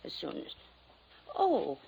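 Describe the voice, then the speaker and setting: A woman's voice: takes it slowly, dark tone, natural voice. A woman playing a genteel, flighty older widow who chatters and trails off, in 1950s radio style. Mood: gracious, fluttery. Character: flighty, genteel old former showgirl, reclusive widow. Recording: noisy vintage radio drama recording.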